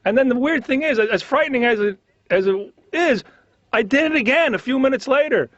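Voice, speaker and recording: A man's voice: very high voice, animated, a little fast, fairly bright tone, no room sound. A man doing a conversational observational comedy monologue, complaining in a neurotic, exasperated way. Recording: slightly dull lo-fi cartoon soundtrack.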